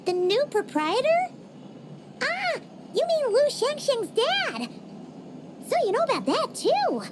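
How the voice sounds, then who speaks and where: A woman's voice: very high voice, wildly swooping pitch, takes it slowly, slight background noise, full character voice. A woman voicing a tiny, chatty and blunt female fairy companion who teases and talks about herself in the third person. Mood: chatty, teasing.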